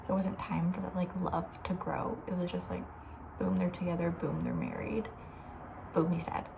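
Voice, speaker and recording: A woman talking softly and breathily in a casual, opinionated ASMR ramble, often slipping toward a whisper. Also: lowish voice, little pitch movement, normal speed, gravelly, background noise. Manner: chatty, informal, opinionated, trailing off. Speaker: ASMR video host. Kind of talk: soft-spoken ASMR, casual rambling chat.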